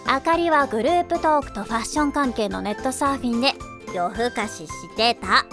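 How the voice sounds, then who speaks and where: A woman playing a teasing, sensible high school girl in a Japanese anime-style voice drama, expressive and easily exasperated. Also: brisk, over music, lightly acted.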